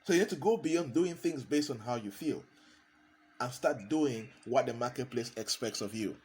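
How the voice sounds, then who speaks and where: A man's voice: mid-range voice, lively intonation, normal speed, a little mellow. A man, a consultant giving a motivational talk, hammering points home by repeating key phrases. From Cameroon.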